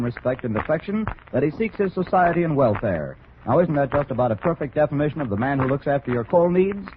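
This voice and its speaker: A man announcing in a 1940s radio advertising style, folksy, reassuring and persuasive. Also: mid-range voice. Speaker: radio announcer reading sponsor message. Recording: muffled, slightly noisy old radio.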